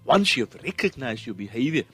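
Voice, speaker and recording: A man's voice: mid-range voice, sing-song, fairly quick, fairly bright tone, slightly rough, faint music behind. A man with a South African accent hosting a motivational podcast, speaking in an earnest, encouraging way. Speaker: podcast host.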